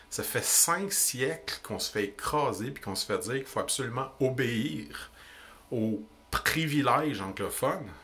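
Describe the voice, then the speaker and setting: A young man with a Québécois French accent, ranting indignantly into the camera about politics in quick, emphatic bursts. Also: medium pitch, fairly bright tone, a little hiss or hum. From Quebec. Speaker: vlogger. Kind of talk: heated political rant.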